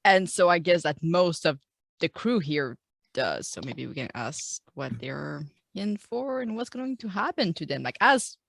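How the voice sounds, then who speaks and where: A woman playing a tabletop game, casually and haltingly thinking aloud as she discusses what to do. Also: on the low side, expressive, vocal fry, no echo, clean studio sound.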